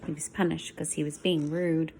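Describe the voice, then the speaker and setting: A woman teacher reading a picture book aloud to young children, expressively, with softer asides to explain it. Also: sits low, little pitch movement, normal speed, a little hiss or hum. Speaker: schoolteacher reading to young pupils.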